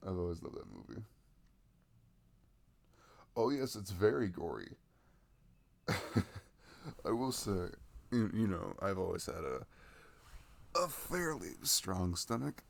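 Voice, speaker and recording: A man's voice: low-pitched, vocal fry, no echo, full character voice. A man playing a sweet, laid-back boyfriend in an intimate ASMR roleplay, rambling casually and playfully after movie night.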